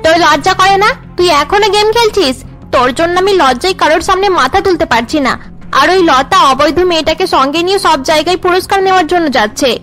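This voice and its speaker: A woman voicing an angry, nagging mother in a melodramatic cartoon, shaming her son with sharp reproach. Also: very high-pitched, expressive, quick pace, soft background music. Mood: furious. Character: angry, scolding, status-obsessed mother of a failing son. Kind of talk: melodramatic Bengali cartoon dubbing.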